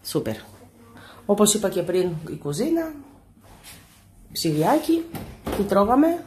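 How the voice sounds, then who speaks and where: A woman's voice: mid-range voice, very animated. A woman filming a casual family room tour, commenting in short remarks and exclamations. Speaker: mother filming a family travel vlog. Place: small stone-walled holiday apartment. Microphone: behind a handheld camera. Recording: faint background noise.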